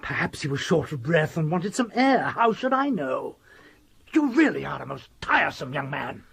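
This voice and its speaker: An actor playing a haughty, short-tempered doctor in a radio drama, condescending and pompous in manner. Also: male voice, a little high, big pitch swings, normal speed, gravelly, fairly bright tone, slightly rough, heavily acted. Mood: scornful, irritated.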